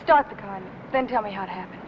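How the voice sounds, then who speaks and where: A woman's voice: medium pitch, fast talker, lightly acted. An American actress playing an earnest, devoted young woman in a 1950s film melodrama, on a muffled, very noisy soundtrack. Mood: earnest, worried.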